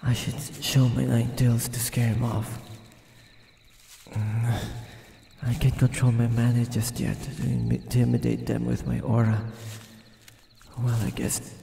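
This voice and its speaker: Man voicing a dark-toned, gravelly, proud fox spirit. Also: medium pitch, little pitch movement, slight background noise. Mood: puzzled. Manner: hesitant, halting, muttering to himself. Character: proud, flustered, wary male nine-tailed fox spirit. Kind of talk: roleplay audio drama, ASMR.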